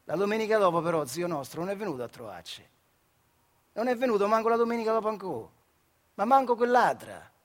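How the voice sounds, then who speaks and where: A working-class factory hand from a stage monologue, with a notably high male voice and a central Italian Marche accent. He tells his life colloquially, earthy and wry, in the manner of radio theatre storytelling. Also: sing-song, quick pace. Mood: wry narrating. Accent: Marche, central Italy. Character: Adriano, working-class old factory man telling his childhood memories. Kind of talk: theatrical monologue storytelling in dialect-tinged speech.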